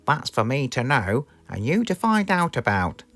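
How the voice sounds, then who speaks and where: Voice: medium pitch, big pitch swings, moderate pace, a bit bright, soft background music. A British narrator voicing a teasing, secretive worm in a children's storybook.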